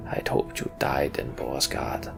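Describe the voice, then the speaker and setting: Man putting on a gravelly, low old king. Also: flat delivery, slow, whispered, over music, full character voice. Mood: cold, contemptuous. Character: gruff, wary old human king, proud and weary.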